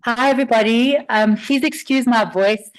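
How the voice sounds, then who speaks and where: A South African woman in her fifties speaks in a fairly high but raspy voice, as if getting over flu. She shares a personal testimonial with a group. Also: moderate pace, poor audio. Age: 50s. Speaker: community member giving a testimonial.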